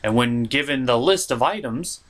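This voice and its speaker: A man's voice: mid-range voice, lively intonation, normal speed, fairly bright tone. A man hosting an informal, opinionated comedic review, giving scripted commentary on a cartoon with exaggerated emphasis. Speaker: host of a cartoon review show.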